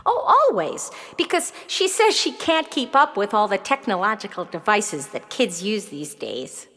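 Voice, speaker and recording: A woman playing a bright, earnest, precocious nine-year-old girl in a children's audio play, articulate and excitable. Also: medium pitch, a little slow, exaggerated voice. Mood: curious, earnest.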